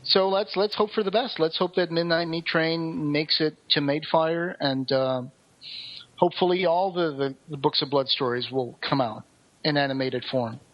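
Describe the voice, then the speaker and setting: A somewhat lo-fi, muffled recording of a man with a fairly high voice. He chats casually as a podcast co-host. He sounds enthusiastic and ready with an opinion. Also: fairly even intonation, moderate pace.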